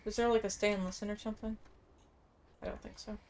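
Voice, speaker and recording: A woman's voice: medium pitch, level tone, quick pace, creaky, darkish tone, slight background noise. A woman giving casual commentary on a video game playthrough, musing aloud informally as she plays. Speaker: gamer recording a playthrough. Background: game music.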